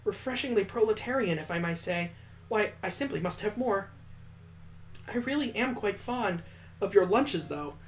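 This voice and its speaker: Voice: mid-range voice, lively intonation, normal speed, a little hiss or hum, pitched above the performer's own voice, lightly acted. A performer voicing a refined, formal young lady in a visual novel, polite and proper, with ornate wording. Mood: genteel.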